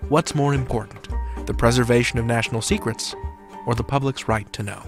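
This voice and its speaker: A man hosting a podcast, giving a scripted introduction with steady, measured presenting. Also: mid-range voice. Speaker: podcast host. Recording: voice over music.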